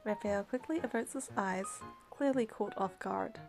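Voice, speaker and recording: A woman's voice: mid-range voice, normal speed, over music. A woman reading game narration and dialogue aloud, with casual commentary of her own.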